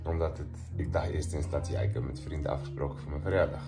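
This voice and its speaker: A man in a very deep, low voice, answering in hesitant, brief phrases. He sits beside the phone rather than in front of it. Faint music runs underneath. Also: fast talker. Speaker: partner of the vlogger. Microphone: off to the side of a phone camera.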